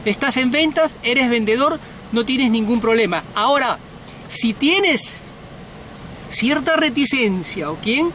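Middle aged guy doing a sales lesson in his car, really high voice, blunt and emphatic, bit of background noise. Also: expressive, takes it slowly, pushed and bright. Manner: blunt, emphatic, repeats words for stress. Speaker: sales trainer, host. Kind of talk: informal sales lesson to camera. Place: inside a parked car.

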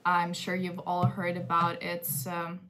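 A woman's voice: on the low side, monotone, unhurried, fairly bright tone. A young woman from Lithuania, presenting a talk show in a conversational way. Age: young adult. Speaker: co-host. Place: bedroom at home, indoors.

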